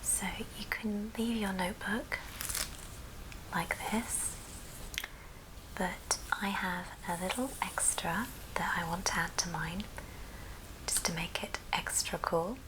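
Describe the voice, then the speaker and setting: A woman's voice: lowish voice, slow, long pauses. A woman whispering throughout as she gives an ASMR craft tutorial. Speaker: ASMR craft video host. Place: at a friend's house, indoors. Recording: noticeable background noise and clicks.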